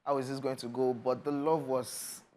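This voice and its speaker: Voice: medium pitch, flat delivery, normal speed, dark tone. A co-host from Nigeria chatting casually about celebrity news, musing and restarting sentences. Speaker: co-host of entertainment show. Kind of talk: casual chat.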